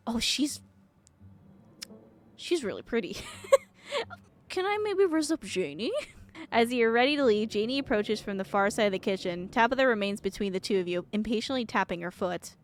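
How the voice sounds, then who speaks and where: A woman's voice: on the high side, normal speed, long pauses. A woman streaming a game with playful, giggly commentary, reading the on-screen text aloud. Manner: giggly, excitable. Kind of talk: playful gaming commentary with read-aloud dialogue.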